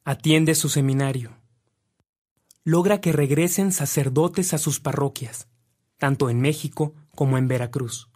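A man's voice: fairly high pitch, a little slow, darkish tone, no echo, studio quality. A man narrating a radio drama in a solemn, articulate, scripted style. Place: dry studio.

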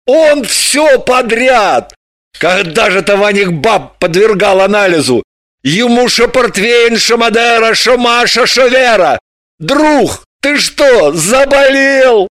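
Женщина изображает крикливого пьяного мужика. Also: female voice, fairly high pitch, animated, takes it slowly, dry recording, clean studio sound, pitched above the performer's own voice. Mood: blustering. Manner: shouting, blustering. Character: rowdy drunken male journalist, loud and pushy.

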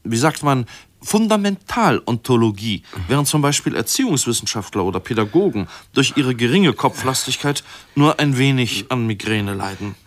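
A man's voice: medium pitch, sing-song, normal speed, close to an everyday voice. An actor playing a pompous, long-winded male medical researcher who lectures didactically in a radio play. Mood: pompous, excited.

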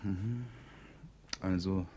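A man in a radio play acting an evasive, uneasy psychiatry professor, speaking hesitantly in a husky voice. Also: sits low, steady tone, very slow, heavy background noise. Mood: probing, businesslike.

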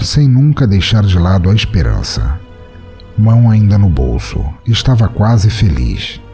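Brazilian male story narrator: very low, mellow voice, dramatic and wry, scripted fiction. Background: music.

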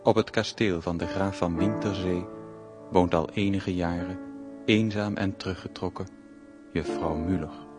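A man's voice: deep voice, fairly even intonation, measured pace. A man narrating a radio play as its announcer, in a solemn scripted style. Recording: narration over loud piano music.

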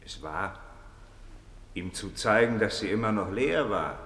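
An actor playing a bitter wounded dead legionary, terse and bleak in a theatrical radio drama. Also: male voice, lowish voice, expressive, a little slow, fairly bright tone. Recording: echoey hall with music behind.

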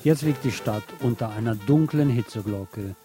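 A man's voice: medium pitch, moderate pace. Man narrating late-night radio over prominent music. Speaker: radio host. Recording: music playing under the voice.

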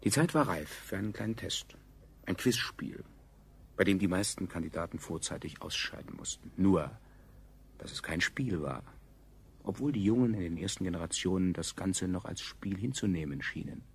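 An actor playing a grave chronicler, narrating a radio play in a measured, faintly ironic way. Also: male voice, deep voice, expressive, vocal fry, slightly rough, heavily acted. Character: grave male chronicler, a survivor recalling the state.

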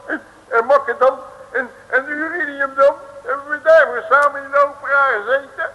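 An actor in a 1950s radio play playing a bumbling detective who stammers and blusters with comic bravado. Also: male voice, extremely high pitch, steady tone, normal speed, pushed and bright, highs cut off. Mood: blustering. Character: boastful bumbling male detective.

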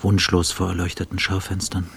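An actor playing a self-doubting, bitterly cynical journalist in a radio play monologue, husky and breathy, often dropping toward a whisper. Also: male voice, sits low, completely flat delivery, a little fast, fairly bright tone, a little hiss or hum. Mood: bleak, detached.

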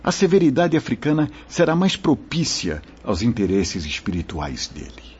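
An actor playing a firm, paternal, charitable spirit mentor in a radio soap, solemn and formal. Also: male voice, mid-range voice, sing-song, normal speed, a little mellow, breathy edge. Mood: grave, paternal. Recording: dull lo-fi radio drama recording.

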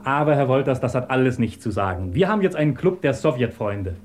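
A man's voice: medium pitch, lively intonation, quick pace, faint music behind, close to an everyday voice. An actor playing an eager, talkative male assistant in a German radio play, chatty and ingratiating. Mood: amused, gossipy. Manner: chatty, ingratiating, deferential to his superior. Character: eager, talkative pro-Soviet male assistant at a research institute.

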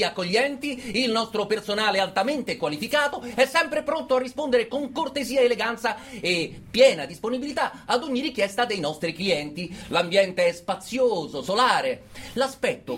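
A man's voice: high voice, a bit bright, a little hiss or hum. A man playing a gleefully sarcastic prison master of ceremonies, giving a grandiose, mock-courteous promotional speech on stage.

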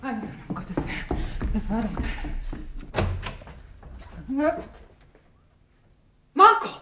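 Radio play role: practical, worried woman, hushed urgent phrases, raised pitch, very roomy sound. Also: sing-song, takes it slowly. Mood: alarmed. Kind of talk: 1950s German radio drama acting. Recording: echoey room, distant, faint noise.

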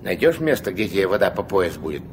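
Old captain, very low voice, gruff, folksy, commanding, weary grandfather, noisy vintage radio play. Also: very animated, quick pace. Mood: grumbling, instructive. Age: older. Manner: curt orders, folksy speech, weary sighs. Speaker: riverboat captain. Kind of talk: Soviet radio drama acting.

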